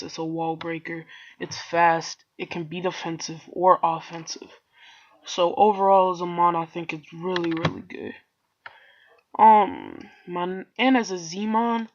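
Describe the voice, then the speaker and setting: A stuffy-nosed man talks straight to his viewers in a loose, slangy ramble, sick and congested but pushing on. He drifts into joking side remarks before getting back on track. The recording is muffled and lo-fi, like a cheap home mic. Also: high-pitched. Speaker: YouTuber. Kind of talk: casual solo video commentary.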